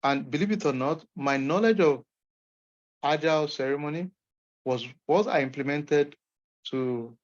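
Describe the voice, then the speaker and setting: A man's voice: on the high side, measured pace, pauses often. A man from Nigeria with a Nigerian accent, an instructor answering questions in an online session in an emphatic, encouraging way. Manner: encouraging, emphatic, folksy asides. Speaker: course instructor. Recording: muffled lo-fi call audio.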